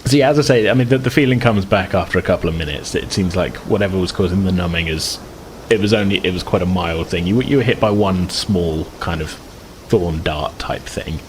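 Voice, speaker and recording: A man's voice: deep voice, a little fast, a little hiss or hum, rough recording. A man improvising casual narration as game master, explaining things in a rambling, hedging way.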